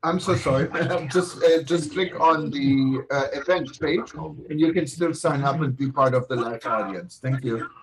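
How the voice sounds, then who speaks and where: A man's voice: mid-range voice, a little slow, slight background noise, low-quality recording. Chatty man hosting a livestream. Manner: chatty, apologetic, self-correcting. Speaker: host. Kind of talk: livestream announcements. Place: home.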